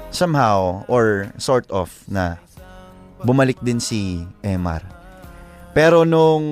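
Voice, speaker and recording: A man with a Filipino accent, a co-host chatting and retelling a story casually, halting and repeating himself as he goes. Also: medium pitch. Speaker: co-host of a story and advice show. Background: background music.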